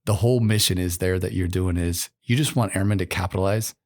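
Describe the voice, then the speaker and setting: Middle-aged man with a country American accent, low-set voice, loose and rambling host with frequent restarts. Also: a little fast. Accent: rural American. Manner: rambling, warm praise, many restarts. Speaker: podcast host, military chief. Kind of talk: casual interview chat.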